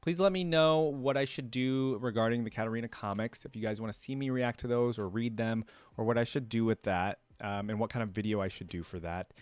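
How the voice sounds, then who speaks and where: A man's voice: mid-range voice, fairly quick, hardly any pauses, clean tone, dry recording. Casual male reaction host, dry close sound. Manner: rambling, conversational, often seeks agreement. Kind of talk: casual, unscripted chat to viewers.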